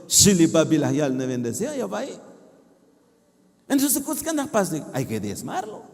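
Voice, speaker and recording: A man's voice: on the high side, huge pitch swings, moderate pace, creaky, a little mellow, big-room sound. A man preaching animatedly, mixing languages, with frequent affirmations.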